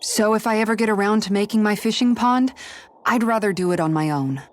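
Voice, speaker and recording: A woman's voice: medium pitch, a little fast, lightly acted. A woman playing an earnest, practical and caring young woman who confides sincerely, in video game dialogue. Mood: earnest, wistful. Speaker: video game party member. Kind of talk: English-language video game character dialogue.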